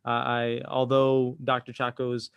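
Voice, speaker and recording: A man hosting an interview podcast in a conversational, somewhat halting way, often repeating words. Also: medium pitch, completely flat delivery, creaky, fairly bright tone, clean tone, no room sound, highs cut off. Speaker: podcast host.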